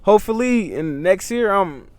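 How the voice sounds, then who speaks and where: Brief: young man, 18, high-pitched, animated, casual podcast guest. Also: normal speed, no room sound. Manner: animated, repeats "you know what I mean" and "bro". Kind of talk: casual chat.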